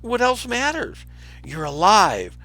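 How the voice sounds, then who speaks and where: A man's voice: high voice, huge pitch swings, slow pace, vocal fry, bright, forceful tone, faint music behind, pitched above the performer's own voice, exaggerated voice. A man voicing a grown lioness from a children's storybook, an insistent, pleading and devoted friend. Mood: excited, insistent. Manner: insistent, emphatic.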